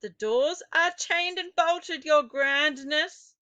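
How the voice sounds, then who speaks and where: A woman's voice: high-pitched, slow pace, bright, forceful tone, highs cut off, voice pushed up, heavily acted. A woman voicing an obedient witch underling from a storybook, answering deferentially. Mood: dutiful.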